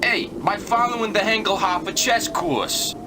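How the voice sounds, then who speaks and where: An actor playing a bored small-town station clerk who thinks himself a chess grandmaster, in an adventure game. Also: male voice, high voice, normal speed, background noise, lightly acted. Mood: smug.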